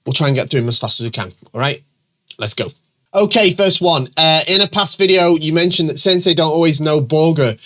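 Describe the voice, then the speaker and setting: Middle-aged male host with a bunged-up, stuffy voice from a cold; bright, forward tone, casual and rambling. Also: on the high side. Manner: chatty, many ums and restarts, reads questions aloud. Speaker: host answering viewer questions. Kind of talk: casual chat.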